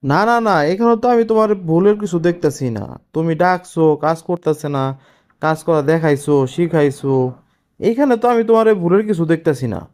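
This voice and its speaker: Sentimental elder brother for a family cartoon played by a man, fairly high voice, earnest consoling manner, Bangladeshi Bengali accent. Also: animated, fairly quick, darkish tone, natural voice. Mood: reassuring.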